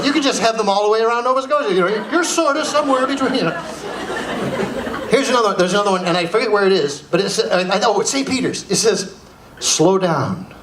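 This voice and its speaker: A middle-aged Canadian man giving a humorous motivational keynote as an animated storyteller, repeating phrases for emphasis. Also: high-pitched. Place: indoor speaking venue with audience. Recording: roomy live hall, faint background noise.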